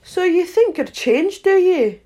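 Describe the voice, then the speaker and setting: Woman voicing a curt, high-pitched storybook caterpillar. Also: animated, a little slow, dark tone. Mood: curt, imperious. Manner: curt, questioning, dismissive. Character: languid, stern, contemptuous caterpillar, curt and unpleasant.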